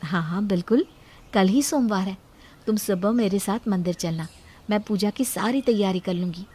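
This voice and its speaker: Woman voicing a devout, gentle young wife. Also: medium pitch, normal speed, husky, natural voice. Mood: gentle, reassuring. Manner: earnest, pious.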